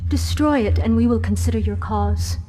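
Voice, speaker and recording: A woman's voice: on the high side, normal speed, mellow, slight background noise, lightly acted. An actress playing a proud, scornful desert warrior woman in a video game cutscene, speaking with defiant deliberation. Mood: scornful challenge.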